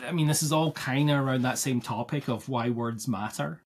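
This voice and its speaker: A man's voice: medium pitch, brisk, husky. A man from Scotland with a Scottish accent, co-hosting a podcast in casual discussion, thoughtful and often restarting as he searches for the right word. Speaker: podcast co-host.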